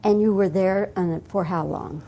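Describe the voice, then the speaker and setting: A woman's voice: medium pitch, moderate pace, gravelly, mellow. A woman who works as a television interviewer, asking questions in a friendly, conversational way. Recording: faint background noise.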